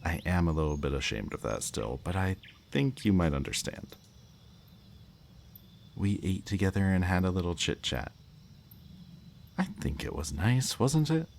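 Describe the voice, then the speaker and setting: A man's voice: low-pitched, sing-song, creaky, heavily acted. A man playing a smug, politely menacing kidnapper in an intimate ASMR roleplay, speaking to the listener in a teasing, mock-courteous way. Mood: smugly courteous. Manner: slow, teasing, mock-courteous, lingering over words. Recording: faint background noise, chirping night crickets.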